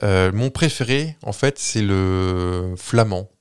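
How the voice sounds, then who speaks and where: A man's voice: deep voice, takes it slowly, clean tone. A man hosting a podcast, telling a story in a playful, rambling way with many hesitations. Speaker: podcast host. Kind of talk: casual chat, telling a story.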